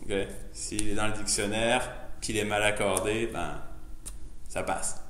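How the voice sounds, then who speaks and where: Man, casual screen demo, thinking out loud, roomy echo, faint hum, keys clacking. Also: on the low side, steady tone, unhurried. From immigrant to Canada. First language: not French. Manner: loose, chatty, thinking aloud, many fillers and restarts. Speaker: presenter showing his editor setup. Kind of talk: casual live software demo. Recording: echoey room, faint noise, keyboard typing.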